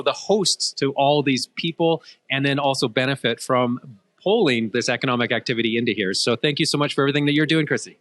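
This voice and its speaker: A man's voice: on the high side, a bit bright, no room sound. A man, hosting a conversational interview at an event. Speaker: interviewer, show host.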